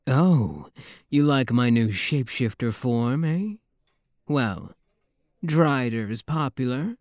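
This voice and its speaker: A man's voice: mid-range voice, lively intonation, slow pace, darkish tone, dry recording, studio quality. A man playing a playful, flirty demon prince with a teasing, theatrical manner in an intimate ASMR roleplay.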